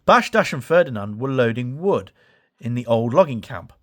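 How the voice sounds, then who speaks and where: A man's voice: mid-range voice, sing-song, normal speed, a bit bright. A man reading a children's story as a scripted storybook narration. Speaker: story reader.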